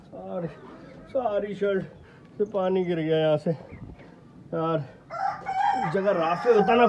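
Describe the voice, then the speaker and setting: A young man vlogging his poultry yard on a phone held at arm's length, chatting and calling out loudly to his birds. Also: high voice. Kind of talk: casual selfie vlog. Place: rooftop poultry yard outdoors, light rain. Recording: dull phone audio with outdoor noise.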